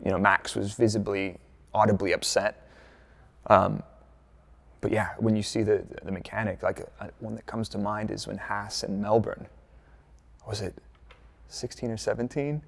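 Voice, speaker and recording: Gravelly laid-back American man. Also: on the low side, little pitch movement, normal speed, pauses often. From United States, Dallas. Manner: laid-back, chatty, lots of fillers and jokey asides. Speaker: F1 content creator, former performance engineer. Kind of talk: casual interview answers.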